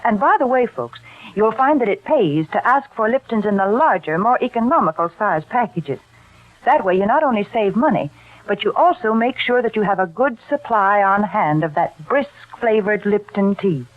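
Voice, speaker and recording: A woman's voice: medium pitch, animated, normal speed. A woman announcer reading sponsor spots on 1940s radio with chatty, polished salesmanship, on a tinny old recording. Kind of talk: 1940s radio advertising read.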